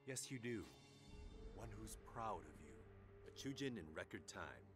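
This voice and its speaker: A man playing a grave, resolute middle-aged warrior father in a video game, speaking in a measured, formal way. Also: lowish voice, big pitch swings, a bit bright, faint music behind. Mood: calm. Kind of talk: dramatic video game acting.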